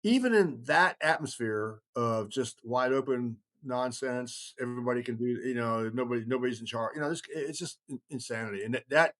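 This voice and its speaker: A man talking casually as he tells stories and explains things, rambling and halting, often trailing off mid-thought. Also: medium pitch, a bit bright. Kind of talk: casual conversational storytelling and explaining.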